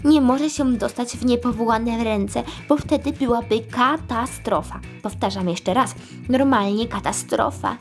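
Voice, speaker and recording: Casting: kindly old male leader played by a woman, worried, earnest, unhurried, children's storybook. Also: a little high, soft background music. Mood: worried, pleading.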